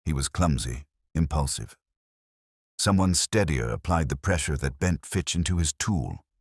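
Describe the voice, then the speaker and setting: A man reads the part of a ponderous genius detective in an audiobook story. The voice is very low and dryly recorded, with a wide, deliberate swing in pitch as he delivers cool, formal judgments. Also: expressive, husky, no room sound, clean studio sound, exaggerated voice. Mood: grave, murmured. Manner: measured, formal, aphoristic pronouncements. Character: ponderous, brilliant, imperious male detective, coolly judgmental. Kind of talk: audiobook character dialogue.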